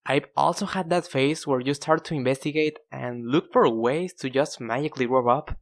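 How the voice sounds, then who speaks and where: A man's voice: medium pitch, moderate pace, faint music behind. Young male narrator, casual storytime delivery, conversational and self-deprecating. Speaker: narrator of an animated storytime video. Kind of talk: casual scripted storytelling with acted dialogue.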